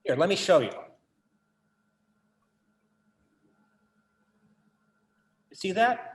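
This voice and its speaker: A man acting a brooding artist and obsessive collector in a stage play. His fairly high voice muses earnestly and wryly, like a theatrical monologue. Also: very animated, fast. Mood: wistful.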